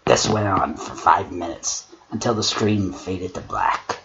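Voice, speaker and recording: A man voicing a wry god of struggle who reads a horror story dramatically and mocks it in a theatrical, sarcastic, deliberate way. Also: low voice, crowd noise, voice pushed down. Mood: ominous storytelling. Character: male god of struggle, Discord's brother, wry theatrical critic. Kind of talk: dramatic creepypasta reading with mocking commentary. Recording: dull lo-fi mic with clear noise.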